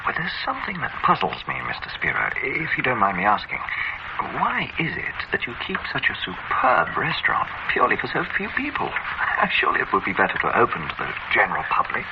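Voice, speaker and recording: An actor playing a polite, inquisitive newcomer diner in a vintage radio drama, speaking with a slightly hesitant manner. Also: male voice, medium pitch, wildly swooping pitch, fairly quick. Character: curious male newcomer diner, polite and uneasy. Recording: muffled old radio recording with heavy hiss.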